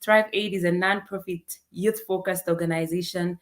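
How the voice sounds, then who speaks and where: A woman's voice: on the low side, steady tone, moderate pace. A woman with a Zambian accent moderating an online event, welcoming the audience in an enthusiastic, emphatic way. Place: online video meeting.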